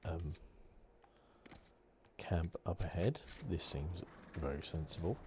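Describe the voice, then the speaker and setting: A man giving relaxed, rambling ASMR commentary on a video game playthrough. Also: sits low, slow, slight background noise. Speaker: gaming channel host. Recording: clear game ambience behind close commentary. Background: video game sounds.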